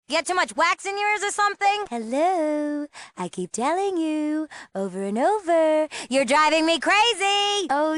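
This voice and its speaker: Woman acting lively, cheeky sheltered teenage girl. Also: medium pitch, dry recording, close to an everyday voice. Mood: casual. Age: young adult. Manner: casual, sarcastic. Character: restless, sheltered small-town teenage girl, cheeky and easily exasperated. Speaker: game character. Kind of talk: video game character dialogue.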